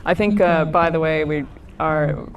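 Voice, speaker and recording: Gravelly-voiced man moderating a panel. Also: a little high, measured pace, muffled recording. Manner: casual, affirming. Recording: far from the mic.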